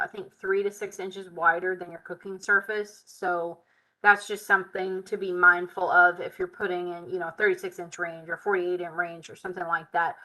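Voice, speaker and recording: A woman with a bright, forward voice gone tired and hoarse, talking through a live software lesson at her desk mic. She mutters and hums while clicking around and keeps up an easy, chatty patter. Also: on the low side, poor audio. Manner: chatty, Southern "y'all", muttering while working. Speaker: software tutorial host. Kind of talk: live screen-share tutorial, thinking aloud.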